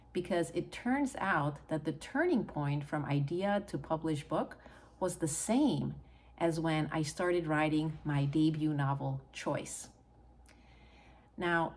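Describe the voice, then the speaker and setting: Middle-aged woman, Hungarian accent, fairly low voice, earnest, encouraging, talking to camera. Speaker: author and channel host. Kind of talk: personal talk to camera.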